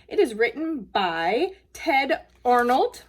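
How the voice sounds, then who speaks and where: A woman's voice: high-pitched, very animated, slow. A woman speaking casually and simply to children, in the manner of a school nurse at story time. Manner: plain, simple, child-directed phrasing. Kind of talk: casual talk to children and read-aloud storytelling.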